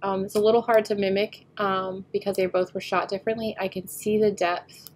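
A woman getting over a head cold, slightly nasal, talking through a photo editing tutorial as she works and often trailing off quietly. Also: medium pitch, level tone, brisk, slight background noise. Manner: thinks aloud while working, often trailing off quietly. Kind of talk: screen-recorded editing tutorial.

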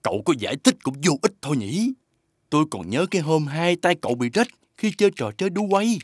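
A man voicing a scheming male gecko who coaxes and goads like a martial mentor in an animated fairy tale. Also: on the high side, brisk, breathy edge, no room sound, clean studio sound. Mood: goading, insinuating. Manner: insinuating, coaxing, with theatrical emphasis.

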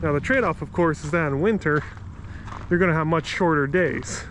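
A man's voice: fairly high pitch, moderate pace, fairly bright tone, slight background noise, low-quality recording. A man narrating a walking tour of a city park outdoors as a travel vlogger, talking enthusiastically and correcting himself as he goes. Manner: enthusiastic, rambling with self-corrections.

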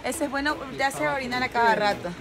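A woman's voice: high-pitched, quick pace, over a noisy crowd. A woman, chatting and teasing casually outdoors. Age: 20s. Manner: teasing, laughing, slangy. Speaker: vlog companion. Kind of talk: casual chat. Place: outdoors at a riverside park.

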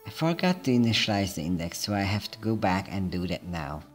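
Low-voiced man narrating a coding screencast. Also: brisk. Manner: calm, methodical, explaining each step. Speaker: programming tutor. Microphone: voice-over over screen recording. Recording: soft background music.

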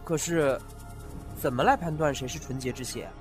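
A man's voice: medium pitch, moderate pace, over music, lightly acted. A man playing a curious, impatient young heir in an ancient-era audio drama, who questions his elders and grumbles. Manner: questioning, restless, indignant. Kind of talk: fan audio drama acting.

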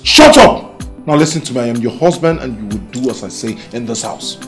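High-pitched, domineering Nigerian husband. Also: male voice, fairly quick, fairly bright tone, soft background music. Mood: stern. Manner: scolding, commanding, repeats himself. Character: jealous, domineering husband guarding his young village wife. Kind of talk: film drama acting.

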